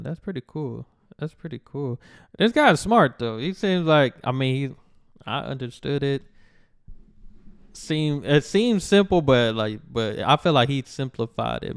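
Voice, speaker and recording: A man's voice: mid-range voice, vocal fry, clear, resonant tone, dry recording, studio quality. A young American man hosting a reaction video, talking in a chatty, rambling and enthusiastic way. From United States. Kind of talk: casual reaction commentary.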